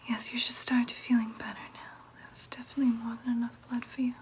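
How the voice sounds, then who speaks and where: A woman voicing a gentle, businesslike vampire nurse in an ASMR roleplay, in a breathy whisper with a soothing clinical manner. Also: on the high side, little pitch movement, quick pace, a little hiss or hum, lightly acted. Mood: pleased, attentive. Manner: soothing, clinical, hesitant asides.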